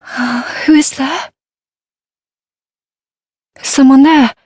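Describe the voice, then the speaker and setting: An actress playing a frail, timid young woman lost in darkness in a fantasy game, with a fragile, breathy, halting voice. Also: high voice, steady tone, a little mellow, dry recording, clean studio sound. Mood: frightened, pleading. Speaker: video game voice actor. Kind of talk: scripted fantasy game dialogue.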